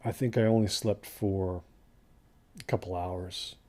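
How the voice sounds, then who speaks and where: A man plays a troubled hiker telling his story in an audio drama. His male voice is on the low side and soft-edged, with a conversational, reflective delivery that carries quiet unease. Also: fairly even intonation, unhurried. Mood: uneasy recollection. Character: uneasy male desert hiker recounting a bad trip. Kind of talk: first-person audio drama monologue.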